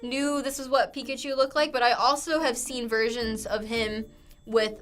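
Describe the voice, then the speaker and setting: A woman's voice: fairly high pitch, steady tone, moderate pace, a bit bright. A young woman with an American accent talking casually as a college student reacting to things. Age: young adult. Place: small indoor studio set. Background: faint music.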